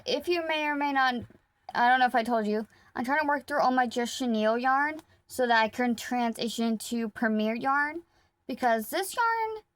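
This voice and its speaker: A woman vlogging casually about her crochet projects, rambling and correcting herself as she goes, her throat a little rough. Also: fairly high pitch, no echo. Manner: rambling, self-correcting, talks to viewers while working. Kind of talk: casual chatty vlog.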